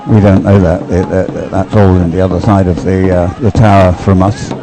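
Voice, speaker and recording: A man's voice: deep voice, level tone, normal speed. A man giving live sports commentary in a hesitant, conversational, loosely phrased way. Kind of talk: live commentary on dragon boat racing.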